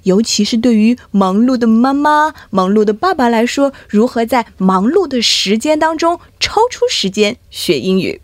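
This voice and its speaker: Lively, high-voiced host woman. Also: normal speed, few pauses. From China. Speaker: podcast host. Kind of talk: podcast hosting, bilingual.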